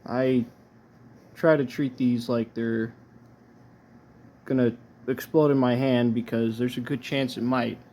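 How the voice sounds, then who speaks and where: A man giving casual, low-key unboxing commentary as a collector, halting often as he thinks aloud. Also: fairly even intonation, darkish tone. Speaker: record collector presenting his haul.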